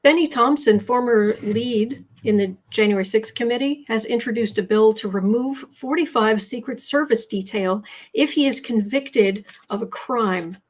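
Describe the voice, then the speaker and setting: An American woman hosting a casual tarot livestream, chatting haltingly as she comments and reads out viewer messages, often repeating her words. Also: on the high side, low-quality recording. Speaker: host of a live tarot and astrology show.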